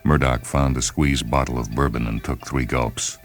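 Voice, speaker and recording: A male storyteller with an exceptionally deep, bottom-register voice delivers scripted dramatic narration. Faint music plays beneath his reading. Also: fairly even intonation, moderate pace.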